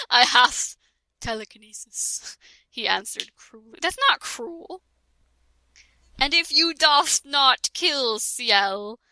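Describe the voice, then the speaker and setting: A woman's voice: fairly high pitch, sharp tone, no room sound. A young woman reading a fan fiction aloud for laughs, breaking off into jokes and mock-dramatic voices. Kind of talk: comedic dramatic reading with chat.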